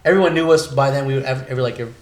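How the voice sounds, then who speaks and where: A man's voice: medium pitch, very fast, a little mellow. Casual American male podcast talker, mid-range voice, slangy and profane, recorded in a roomy, noisy space. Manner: slangy, profane, addresses friends as bro and dude. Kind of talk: casual chat, reminiscing with friends. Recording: echoey room with clear background noise.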